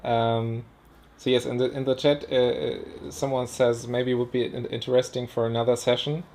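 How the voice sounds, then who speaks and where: A man's voice: medium pitch, level tone, normal speed, a little mellow, a little hiss or hum. Thirties man, polite moderator, filler-heavy delivery. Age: 30s. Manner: polite, searching for words with fillers. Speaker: host, moderator. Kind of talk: online seminar moderation.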